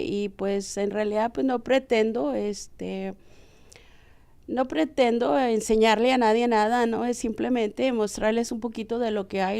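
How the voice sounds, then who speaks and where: A woman's voice: on the high side, vocal fry, darkish tone. A woman in her forties from the Texas border, telling her story casually and humbly as an interview guest, with hesitant fillers. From Texas border region, Mexican family. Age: 40s.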